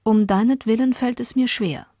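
A woman playing a dutiful German wife of the 1910s, reading her letter to a friend in a measured, intimate way. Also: on the high side, steady tone, mellow, dry recording, clean studio sound, natural voice. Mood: wistful.

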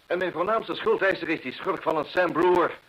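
An actor playing a proud, desperate gentleman landowner in an old radio drama, husky, earnest and theatrical. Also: on the high side, fairly quick, low-quality recording. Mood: desperate, fervent. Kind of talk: old-time Dutch radio drama acting.

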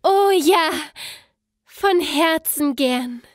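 An innocent young princess, acted by a woman, very high and sweet. The courteous lines come slowly, in the manner of a fairy-tale audio play. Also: expressive, no room sound, clean studio sound, heavily acted. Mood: sweet, willing.

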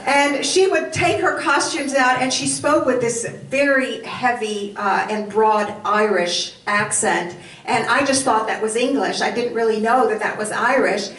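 An older woman from Poland whose first language is Yiddish, telling animated stories in a lecture with a handheld mic in a hall. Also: a little high, a little slow, hardly any pauses. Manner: animated, does voice imitations. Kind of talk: storytelling lecture. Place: hall with curtains, indoors. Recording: roomy hall from far off, faint noise.

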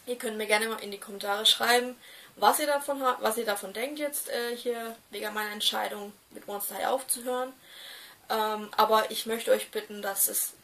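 A woman's voice: a little high, fairly even intonation, moderate pace, a bit bright, recorded from a distance, slight background noise. Hesitant young woman talking to her webcam. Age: 20s. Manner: hesitant, many fillers and restarts. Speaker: doll collector vlogger. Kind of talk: casual talk to camera. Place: small room indoors.

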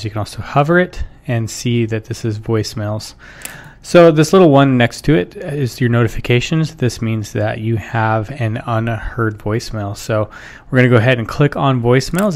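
A man, explaining software step by step in an informal, instructional way. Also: mid-range voice. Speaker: software tutorial presenter. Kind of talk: casual instructional screen-share narration.

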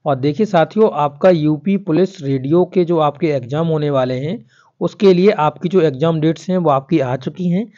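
A man's voice: a little high, normal speed, mellow. A man explains official updates to viewers in an informal, instructional voice-over, often repeating himself. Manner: repetitive, instructional, addressing viewers directly. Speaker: exam news YouTuber. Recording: dull, lo-fi voice-over.

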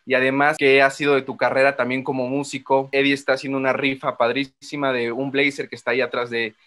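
A man's voice: mid-range voice, flat delivery, quick pace, a bit bright. Upbeat Mexican man hosting a video-call interview. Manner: upbeat, announcer-like welcome. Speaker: interview host. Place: living room with a sofa, indoors. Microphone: webcam, video call.

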